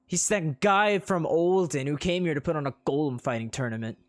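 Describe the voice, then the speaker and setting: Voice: medium pitch, fairly quick, dry recording, clean studio sound, natural voice. A narrator voicing the listener's character, a young man speaking in a wry, exasperated way.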